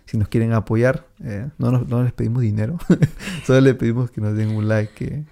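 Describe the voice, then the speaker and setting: A man's voice: mid-range voice, moderate pace, gravelly, warm tone. A man hosting a podcast in casual chat, rambling with many fillers and easy laughter. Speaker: podcast host.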